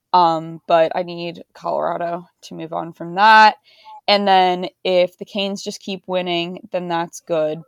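Slow, low-voiced young woman losing her voice. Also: smooth, no echo, clean studio sound. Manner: laid-back, wry. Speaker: podcast co-host. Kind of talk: casual sports podcast chat.

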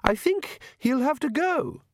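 A man's voice: very high-pitched, animated, unhurried, no echo, clean studio sound, pitched above the performer's own voice. A man voicing a snobbish, fussy middle-aged vampire countess in a storybook, affected and disdainful.

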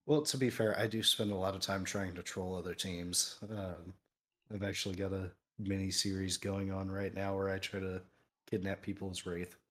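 Low-voiced, easygoing man who sounds made for radio. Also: level tone, moderate pace, fairly bright tone, husky. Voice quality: voice people call fit for radio. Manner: easygoing, self-deprecating. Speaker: podcast guest, streamer. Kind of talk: casual podcast chat.

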